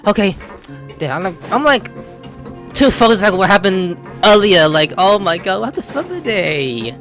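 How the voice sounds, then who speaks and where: A woman doing casual, excitable let's-play commentary, exclaiming and gushing as she talks to the characters and to herself. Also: mid-range voice, moderate pace. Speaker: gameplay streamer. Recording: game music behind the voice.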